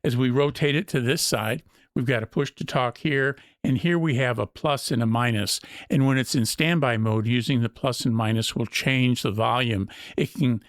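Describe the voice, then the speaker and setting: A man's voice: medium pitch, no room sound, clean studio sound. A man presenting an unscripted product walkthrough as a review host, explaining things methodically step by step.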